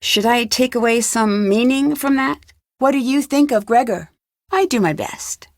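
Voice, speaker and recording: A woman's voice: fairly high pitch, moderate pace, dry recording, studio quality, natural voice. A woman playing a curious, composed young female detective in an adventure game, asking direct and unflinching questions.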